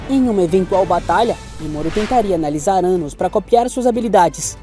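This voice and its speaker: A man voicing an excitable young anime character with a Brazilian accent, giving dramatic, exclamatory commentary in a scripted animated debate. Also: high-pitched. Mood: dramatic, awed.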